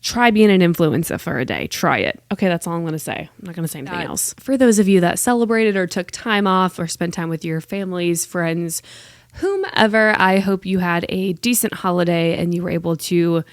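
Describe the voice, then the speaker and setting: A woman's voice: lowish voice, brisk, no echo, clean studio sound. A woman in her late twenties hosting a casual solo podcast, chatting in a rambling, self-deprecating way with lots of "like" fillers. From United States. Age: 20s. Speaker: podcast host.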